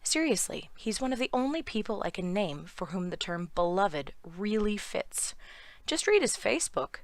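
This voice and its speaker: A woman speaks in a hoarse, worn-down voice, delivering animated, sardonic commentary from a script. The sound is dry and close, with no room around it. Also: medium pitch, normal speed, dry recording. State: hoarse from lost voice. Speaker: YouTube commentator.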